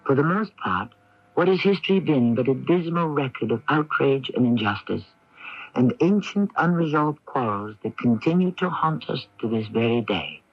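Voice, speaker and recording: A man narrating a radio drama as host, in a solemn, measured, scripted manner with dramatic pauses. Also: medium pitch, expressive, dry recording. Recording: muffled 1980s AM radio tape.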